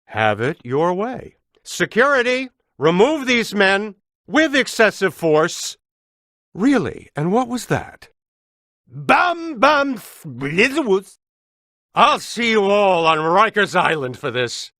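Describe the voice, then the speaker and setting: A man's voice: sits high, sing-song, pushed and bright, no room sound, clean studio sound, full character voice. An actor playing an officious, indignant male city bureaucrat in a video game, pompous and precise in his delivery. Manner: pompous, clipped, deliberate enunciation.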